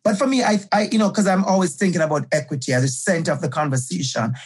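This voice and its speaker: Male guest educator, middle-aged, husky and emphatic, conversational interview delivery. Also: high-pitched, quick pace. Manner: passionate, emphatic, repeats key phrases.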